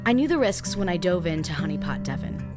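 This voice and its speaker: Over music, an actress plays a captive young woman spy in an audio drama. Her voice is on the low side. She is sardonic and desperate, sighing between bitter, lovelorn thoughts. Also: sing-song, fast talker. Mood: bitter, wry. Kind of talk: dramatic first-person monologue in an audio drama. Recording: music behind the voice.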